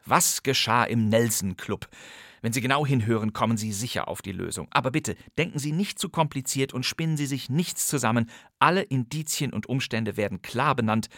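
A male podcast host with a medium-pitched voice in a very dry studio. He narrates a scripted introduction in a conversational, playful way and speaks straight to the listener. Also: fairly bright tone, no room sound. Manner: playful, directly addressing listeners.

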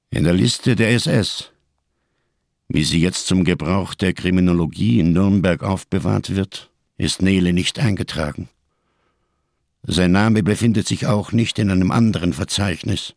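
An actor in a radio crime drama playing a giant, scarred, world-weary survivor who speaks in grand, bitterly ironic phrases. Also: male voice, low voice, vocal fry, dry recording, studio quality, heavily acted. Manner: grandiose, bitterly ironic, slow rhetorical delivery.

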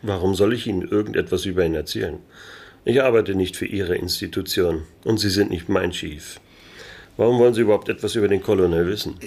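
A man's voice: low voice, a little fast, a little mellow. A man playing a wry, hard-boiled private detective in a noir radio play, with a dry, sardonic delivery. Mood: defiant, dry. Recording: music under the voice. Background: music.

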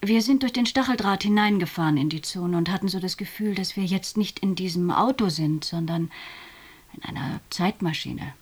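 Husky, low-voiced female witness. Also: moderate pace. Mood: reflective. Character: witness recalling a journey into a forbidden zone. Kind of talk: radio drama, spoken eyewitness account.

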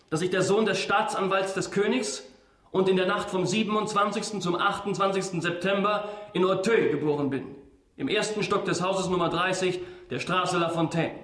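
High-voiced, brazen young defendant. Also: male voice, fairly bright tone. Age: young adult. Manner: self-assured, deliberate, provocative. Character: brazen young defendant, defiant illegitimate son. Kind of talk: German radio drama acting. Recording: roomy, reverberant courtroom sound.

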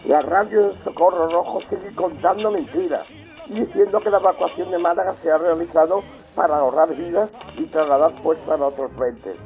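Radio drama villain: contemptuous 1930s propaganda general, high-pitched, muffled vintage broadcast. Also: male voice. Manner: boastful, contemptuous. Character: gloating, scornful fascist general broadcasting propaganda. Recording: dull old radio sound with music.

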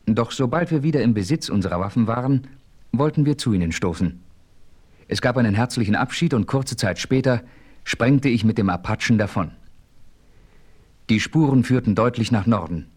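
An actor as a composed western adventurer, telling his own story in a German radio play with measured narration. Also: mid-range voice, animated, a little mellow, breathy edge. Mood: composed, narrating. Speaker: first-person narrator.

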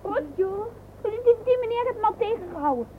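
A woman's voice: expressive, fairly quick. A woman voicing squabbling, stubborn, whiny little boys in a radio drama. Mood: whining, clamouring. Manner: shrill, stammering, whining. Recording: muffled, noisy old radio recording.

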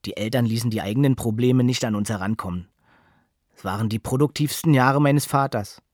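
Man playing grown son, calm, reflective, measured radio narration, medium pitch, dry acoustic. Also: dry recording, lightly acted. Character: writer's grown son, calmly looking back on childhood. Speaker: actor in a radio play.

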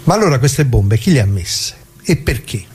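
A man's voice: medium pitch, big pitch swings, normal speed, natural voice. An actor playing a plain-spoken left-wing worker who recalls the past in a colloquial theatrical monologue, putting questions to his listeners. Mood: bitter, questioning. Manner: conversational, rhetorical questions to listeners. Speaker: narrator of radio drama.

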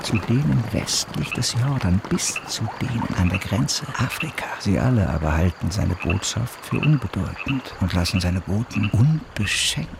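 An actor playing a solemn chronicler in a biblical radio drama, reciting in a measured, incantatory way. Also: male voice, lowish voice, lively intonation, creaky, background noise. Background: loud music.

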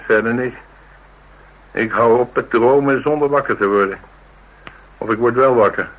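Actor as moody guy in a radio play, rough husky voice, kinda philosophical and understated. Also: medium pitch, normal speed, muffled recording, lightly acted. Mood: musing. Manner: musing, understated, dryly wry. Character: brooding, philosophical man named Paul, haunted by dreams. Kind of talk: Dutch radio drama acting, naturalistic dialogue.

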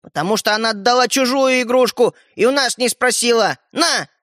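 A man voicing a pushy, excitable, cheeky young brother in a children's story, brash and exclaiming. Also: high voice, moderate pace, no room sound, studio quality, pitched above the performer's own voice, exaggerated voice. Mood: demanding.

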